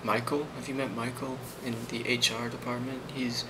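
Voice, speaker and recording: A man playing a friendly, rambling office boss in an ASMR role play, speaking softly and hesitantly with a reassuring manner and a creaky voice. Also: medium pitch, flat delivery, normal speed, lightly acted. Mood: calm, reassuring. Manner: soft-spoken, hesitant, reassuring. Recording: loud constant background noise.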